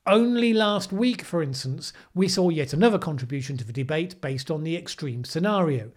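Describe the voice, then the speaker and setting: A man's voice: on the high side, lively intonation, moderate pace. A middle-aged man hosting a commentary show, speaking to the camera in a sardonic way. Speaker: host of a weekly news commentary show. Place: small indoor room, plain backdrop. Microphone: microphone on a desk boom arm.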